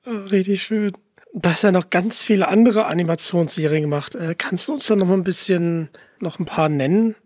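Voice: mid-range voice, a little fast, darkish tone, no room sound, clean studio sound. A podcast host interviewing a guest in a casual, conversational style.

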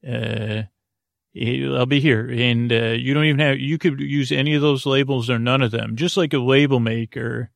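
Man, creaky voice, close dry sound, halting bedtime podcast host, meandering and soothing. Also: mid-range voice, little pitch movement, dry recording. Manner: meandering, halting, repeats and trails off. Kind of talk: rambling bedtime podcast monologue.

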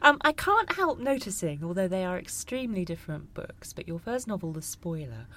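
A woman's voice: fairly low pitch, big pitch swings, a little fast, fairly bright tone. A woman interviewing an author, asking long, eloquent questions about the author's books. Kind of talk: literary interview.

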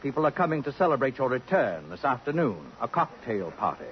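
An actor, a man, playing an arch, witty, affected society gentleman in a theatrical 1950s radio drama style. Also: mid-range voice, very animated, a little fast, close to an everyday voice. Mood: sardonic, playful. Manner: affected, pompous, sardonic. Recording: dull, hissy old radio recording.

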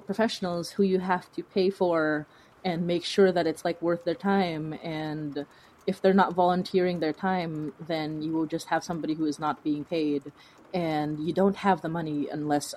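A woman's voice: sits low, dark tone, poor audio. A woman chatting casually as an interview guest, rambling and informal, with a self-deprecating way of talking. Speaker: fiction podcast creator, interview guest.

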